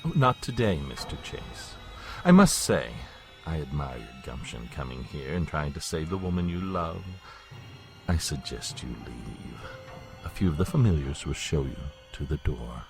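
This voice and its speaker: A man's voice: extremely low pitch, sing-song, moderate pace, vocal fry, soft background music, exaggerated voice. An actor playing a suave, menacing vampire lord in an audio drama, mock-polite and theatrical, drawing out his taunts. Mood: smug, threatening.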